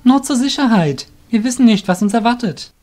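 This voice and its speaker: A man's voice: extremely high pitch, lively intonation, brisk, mellow, voice pushed up. A man voicing Seki's part in a radio play, an earnest, curious young apprentice with a frank, respectful way of asking. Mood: careful.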